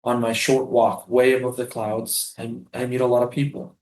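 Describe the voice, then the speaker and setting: A man reading the part of a tender, defiant Palestinian refugee prisoner in a staged play, lyrical and deliberate. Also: mid-range voice, flat delivery, darkish tone, slight background noise, lightly acted. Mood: wistful. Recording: roomy, echoing live stage reading.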